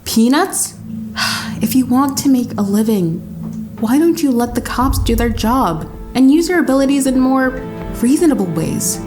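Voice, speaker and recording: A woman's voice: high voice, expressive, moderate pace. A woman playing a hero's earnest, exasperated young girlfriend in an audio drama. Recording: music under the voice.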